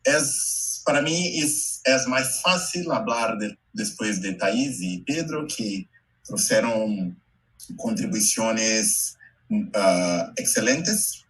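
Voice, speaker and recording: A Brazilian man whose first language is Portuguese, speaking slowly and haltingly in Spanish mixed with Portuguese, giving a talk over a video call. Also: lowish voice, expressive, fairly bright tone, low-quality recording. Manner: slow, careful, halting Portuguese-Spanish mix with many pauses. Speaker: invited speaker, educator.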